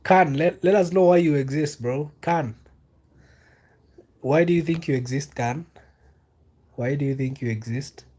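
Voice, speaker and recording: A man's voice: on the high side, a little mellow. A young man from Kenya chatting casually on a live stream, reading out comments and asking viewers questions, with lots of ums and repeats. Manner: loose and unhurried, many fillers and repeated questions. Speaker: livestreamer reading chat.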